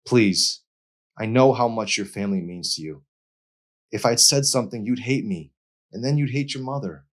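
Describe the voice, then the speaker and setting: A man's voice: fairly low pitch, normal speed, voice pushed up, natural voice. A man voicing a guilt-ridden, earnest, defensive teenage boy in an audiobook. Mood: pleading, defensive.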